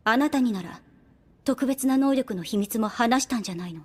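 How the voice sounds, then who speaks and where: An actress playing a shrewd young woman soldier in an anime drama, composed, unhurried and coolly persuasive with a soft teasing edge. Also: a little high, animated, slightly rough. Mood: low, probing. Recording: background music under the voice. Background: music.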